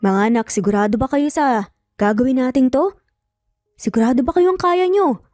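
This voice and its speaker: A stern, protective father played by a woman narrator, speaking firmly and with measure. The voice is mellow and fairly high, recorded dry for an audio story. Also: dry recording, faint music behind, natural voice. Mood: doubtful, resigned. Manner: cold, firm, measured.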